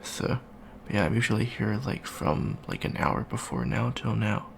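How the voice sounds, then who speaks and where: Gravelly, awkward young alien student voiced by a man. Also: on the low side, animated, normal speed, noisy background. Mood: awkward, chatty. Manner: hesitant, stammering, awkward small talk. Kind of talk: improvised ASMR roleplay, casual chat.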